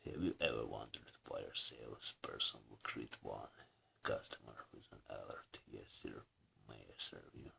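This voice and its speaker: A husky-voiced man who keeps clearing his throat, reading a book aloud haltingly for his video channel and stumbling over words. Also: rumbling low, pushed and bright, dull audio, a little hiss or hum. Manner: halting reading with restarts and slips. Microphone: camera mic some distance away.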